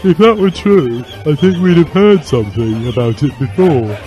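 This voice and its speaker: A timid, earnest schoolboy from a story, put on by a male reader. The voice is raised well above a plain man's pitch, with music clearly playing behind it. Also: animated, moderate pace, dark tone, lo-fi sound, close to an everyday voice. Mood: uncertain. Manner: hesitant, earnest.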